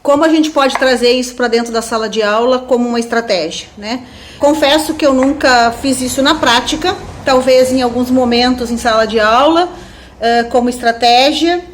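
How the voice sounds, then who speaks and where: A woman's voice: on the high side, a little hiss or hum. A woman with a southern Brazilian accent giving an informal academic webinar talk as a professor, thinking aloud and often checking in with tag questions. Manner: reflective, seeks agreement with frequent tag questions. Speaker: university professor, webinar speaker.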